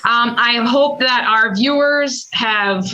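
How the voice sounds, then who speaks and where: Bright, high-voiced upbeat woman host. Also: takes it slowly, dull audio. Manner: upbeat, effusive thanks and praise. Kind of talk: hosting an online chat show.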